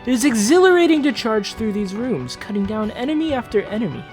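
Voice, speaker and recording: Performer as haughty prince with animated delivery. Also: medium pitch, brisk, faint music behind, lightly acted. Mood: uneasy, haughty. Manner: theatrical, formal, dramatic. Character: Prince Johannes, proud, haughty, entitled eldest royal son on a quest. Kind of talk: dramatic fantasy journal narration, fan dub.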